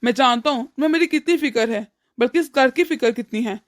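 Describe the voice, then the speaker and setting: A protective young husband in a cartoon family tale , acted by a woman, with a rather high voice. He speaks in a consoling way, resolved to take care of things. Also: fast talker, lightly acted. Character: protective, soothing young husband in a troubled household. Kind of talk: animated family drama dialogue.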